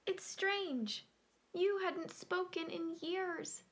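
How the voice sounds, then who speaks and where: An actress playing a guarded, probing woman in a stage drama, with measured delivery. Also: extremely high pitch, a bit bright, full character voice. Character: guarded, probing woman hiding a dark secret.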